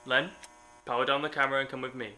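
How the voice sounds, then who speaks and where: A man's voice: medium pitch, little pitch movement, quick pace, natural voice. A man playing a brusque, no-nonsense studio supervisor in an audio drama, clipped, commanding and impatient. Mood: impatient, firm. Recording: loud mains hum, hiss and clicks.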